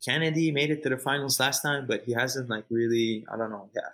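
A man's voice: mid-range voice, little pitch movement, fairly quick, fairly bright tone. A Canadian man chatting informally in an interview, repeating phrases for emphasis and using plenty of slang. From Montreal, Canada. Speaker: jiu-jitsu competitor, interview guest. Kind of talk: casual, unscripted interview chat.

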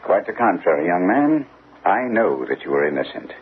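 An actor playing a shrewd, self-assured gentleman detective in an old-time radio drama, precise, probing and courteously commanding. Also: low-pitched, very animated, normal speed, narrow, tinny audio. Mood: calm probing. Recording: thin, band-limited old radio recording, faint noise.

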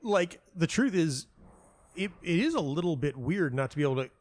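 A man's voice: fairly high pitch, expressive, normal speed, dry recording. A man giving casual interview answers as a playwright, rambling and often interrupting himself as he tells stories. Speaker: playwright and audio dramatist being interviewed.

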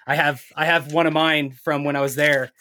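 A man's voice: on the high side, flat delivery, normal speed, pushed and bright. A man speaking native English in a casual chat as a podcast guest, telling things in a rambling, storytelling way.

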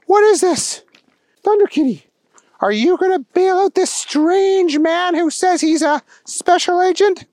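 A man's voice: very high-pitched, measured pace, darkish tone, dry recording, pitched above the performer's own voice. A man voicing an officious, gushing female police chief in a children's story. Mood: indignant.

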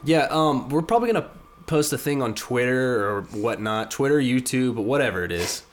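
A man's voice: medium pitch, lively intonation, moderate pace. A man co-hosting a casual anime recap show, chiming in with easygoing comments. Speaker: podcast co-host.

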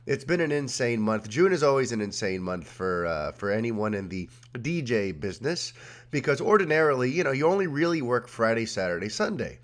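Guy with a head cold, nasal and stuffy, chatty rambling podcast host, mid-pitched voice. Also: big pitch swings, brisk. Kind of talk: casual podcast monologue.

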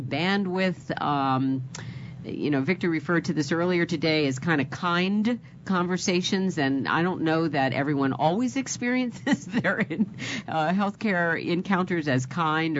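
A woman moderating a webinar panel, posing long, meandering questions to the panelists. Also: low-pitched. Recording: dull lo-fi audio with faint noise.

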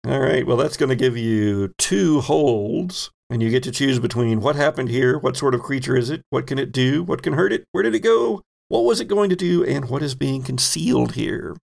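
Gravelly man running a tabletop game. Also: mid-range voice, animated, moderate pace, few pauses, darkish tone. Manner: descriptive, playful storytelling with pop-culture asides. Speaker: game master. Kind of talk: improvised narration of a tabletop horror-comedy game.

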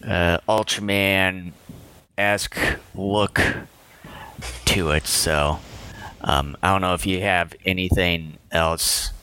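Slow, halting male podcast co-host. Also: low-pitched, steady tone, sharp tone, smooth. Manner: slow, halting, many uh fillers. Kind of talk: casual chat.

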